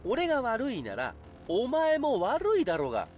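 A man's voice: very high-pitched, wildly swooping pitch, normal speed, a little mellow, slight background noise, full character voice. A man playing an irritable, defensive young roommate in an audio drama, grumbling and argumentative. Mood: angry.

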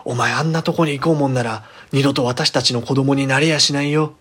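A man's voice: mid-range voice, steady tone, a little fast, a bit bright, husky. Man acting a stern, scolding parent. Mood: stern warning. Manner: urgent, admonishing. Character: stern, scolding parent warning a wayward child.

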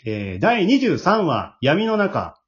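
A man's voice: high-pitched, wildly swooping pitch, slow. A man hosting a casual chat show, using polite announcer-style phrasing and reading out messages. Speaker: host. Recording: muffled lo-fi audio with background noise.

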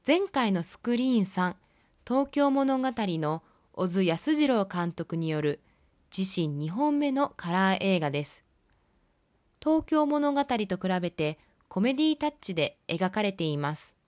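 A woman hosting a film review podcast, reading her scripted commentary in a measured, polite, explanatory way. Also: mid-range voice, very animated, a little mellow, dry recording, studio quality.

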